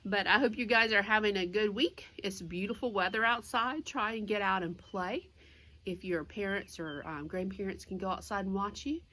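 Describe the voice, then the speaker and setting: A woman's voice: medium pitch, normal speed, pushed and bright, poor audio. A woman reading a children's storybook aloud as a teacher would, with simple explanatory asides for young listeners.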